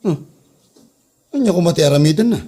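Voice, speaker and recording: A man's voice: a little high, expressive, fairly quick, warm tone, husky. A man playing a lazy, demanding office boss who grumbles and orders people around in a comedy audio drama. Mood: grumbling. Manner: grumbling, bossy.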